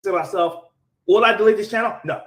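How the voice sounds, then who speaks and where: A man's voice: sits high, fairly quick, a bit bright, reverberant. A man talking casually and defensively to camera in a played clip, saying "bro" often.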